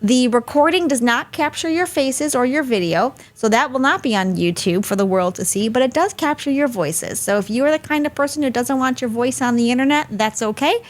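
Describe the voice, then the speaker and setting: Friendly pa lady running a webinar, chatty, laughs a lot, bit of a higher voice. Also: lively intonation, fairly quick, hardly any pauses. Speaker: host. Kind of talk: hosting a live webinar.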